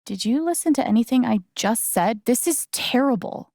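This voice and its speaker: A woman's voice: on the high side, lively intonation, normal speed, vocal fry, a little mellow, no room sound, studio quality. An actress playing a guarded, wry young woman in a video game, speaking in a casual, hesitant, understated way. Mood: bitter, self-critical. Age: young adult. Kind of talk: naturalistic video game voice acting.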